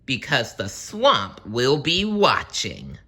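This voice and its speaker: A reader plays a witchy woman schoolteacher from a storybook, scratchy and menacing. She gives orders slowly and deliberately, with big swings in pitch and a forceful edge. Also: wildly swooping pitch, husky, full character voice. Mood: sly warning. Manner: commanding, drawn-out, menacing. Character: scratchy-voiced menacing witchy substitute teacher, bossy woman.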